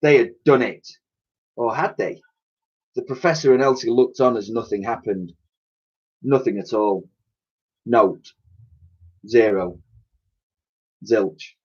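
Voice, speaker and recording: A man's voice: medium pitch, slow pace, pauses often, a little mellow, breathy edge, dull audio. A man reading a children's story aloud like a teacher, with theatrical, suspenseful narration and emphatic sound effects spoken by mouth.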